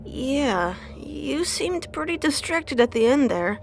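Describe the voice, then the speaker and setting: Actress as timid, earnest young woman in audio drama: higher-pitched, slow, halting delivery. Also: big pitch swings, gravelly, slight background noise, full character voice. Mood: bashful. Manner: hesitant, timid stammering. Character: shy, earnest young undead woman, easily flustered. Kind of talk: audio drama character acting.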